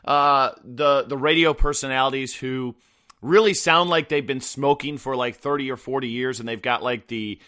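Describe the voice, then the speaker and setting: A man hosting a podcast in casual chat, his voice hoarse and rough, rambling with many fillers. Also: mid-range voice, normal speed, sharp tone. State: hoarse after a weekend of travel and partying. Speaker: podcast host.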